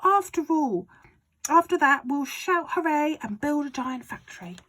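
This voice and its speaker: A woman doing a shouting overexcited father. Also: high-pitched, lively intonation, unhurried, pitched above the performer's own voice. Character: excitable bossy father, middle-aged man.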